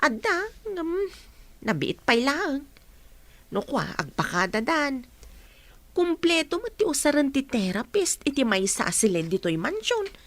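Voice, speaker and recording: A woman's voice: high voice, sing-song, unhurried, dry recording, full character voice. A Filipino woman playing a wary, gossipy older household helper in a radio drama.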